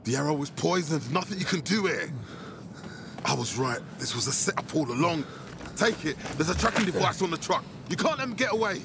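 A man's voice: on the high side, expressive, brisk, creaky. A man playing an angry, commanding survivor in a video game, urgent and profane. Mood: grim, pressing. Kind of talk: video game dramatic acting. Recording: noisy game action mix.

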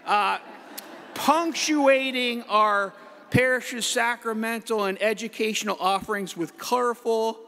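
A middle-aged man, a priest, giving a playful homily from the pulpit with the odd hype-man shout. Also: very high-pitched, unhurried. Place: large church. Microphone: pulpit mic. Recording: echoey church hall.

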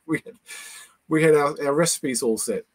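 An older man with a New Zealand accent, a guest lecturer telling anecdotes over a video call, with many pauses and fillers. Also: on the high side, crowd noise. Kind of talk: casual chat, storytelling.

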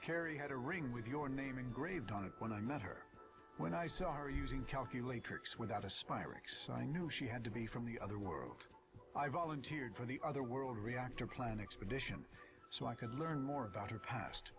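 A man's voice: mid-range voice, expressive, moderate pace, over music, lightly acted. Man playing a solemn, earnest soldier. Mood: composed. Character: remorseful, serious middle-aged soldier and husband. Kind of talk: scripted game cutscene acting.

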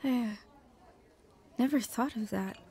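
A woman's voice: a little high, takes it slowly, warm tone, husky, heavily acted. An actress playing an earnest, wounded young woman telling her life story in a dramatized testimony. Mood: hesitant, hurt. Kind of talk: dramatized first-person testimony narration and dialogue.

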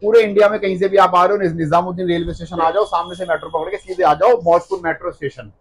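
Fast-talking man pitching wholesale prices. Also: steady tone, slight background noise, low-quality recording. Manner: rapid, pushy salesman patter, repeats words. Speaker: wholesale cosmetics shop owner. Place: cosmetics shop among stocked shelves, indoors. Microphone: handheld phone camera up close.

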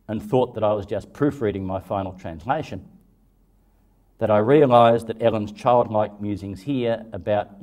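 A man in his fifties giving prepared commentary as a translator, measured and formal. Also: fairly low pitch, darkish tone.

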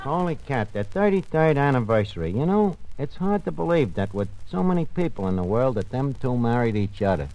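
Wildly animated, wisecracking tavern manager. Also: male voice, mid-range voice, brisk, hardly any pauses. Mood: mocking. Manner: slangy, mocking. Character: wisecracking, sardonic New York tavern manager. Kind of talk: 1940s radio comedy acting. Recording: noisy old-time radio broadcast recording.

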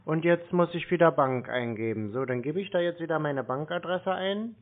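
A man's voice: fairly high pitch, normal speed, lightly acted. A man playing a bumbling, naive caller who mutters through each step and mangles English words on a prank phone call. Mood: muttering, befuddled. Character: bumbling, naive man struggling with online payment. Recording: tinny narrowband phone line.

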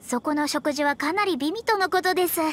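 A woman's voice: high-pitched, fairly quick, natural voice. An actress playing a proud, prim young female astrologer mage who speaks formally in an anime game. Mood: self-important. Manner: prim, self-important, formal.

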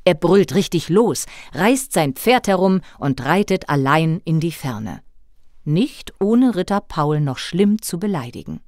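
A woman reading a scripted children's story as narrator, with expressive storytelling delivery. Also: on the low side, measured pace, no echo, clean studio sound.

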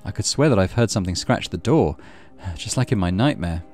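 A man's voice: sits low, animated, brisk, a little mellow, faint music behind. A man reading a horror visual novel aloud as dramatic first-person narration. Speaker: game streamer reading aloud.

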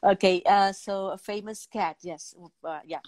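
A woman's voice: mid-range voice, steady tone, measured pace, a little mellow, no echo, clean studio sound. A middle-aged woman with a foreign accent hosting a casual interview over a video call. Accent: foreign-accented English. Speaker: talk show host. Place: indoor room at home, on a video call.

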